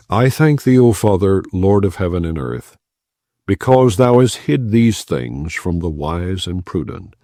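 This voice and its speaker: A man giving a solemn, measured reading of scripture in archaic language. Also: low voice, creaky, dark tone, dry recording, studio quality. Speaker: Bible reader.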